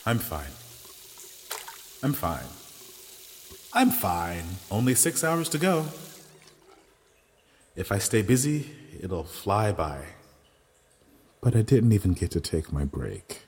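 A man's voice: lowish voice, big pitch swings, slow pace, long pauses, a little mellow, a little hiss or hum, full character voice. A man playing a guilt-ridden, self-doubting young waiter with secret powers in an audio drama, brooding and hesitant. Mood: weary. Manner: brooding, rueful, hesitant with others. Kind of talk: audio drama acting with inner monologue.

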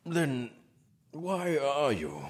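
An actor playing a proud, self-pitying prince, slurring and sulky in an audio drama. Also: male voice, medium pitch, sing-song, drawn-out words. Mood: drunk, maudlin.

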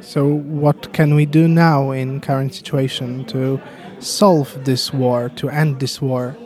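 A man's voice: mid-range voice, flat delivery, slow pace, a little mellow. A man hosting a political podcast, introducing his guest and putting questions as interviewer.

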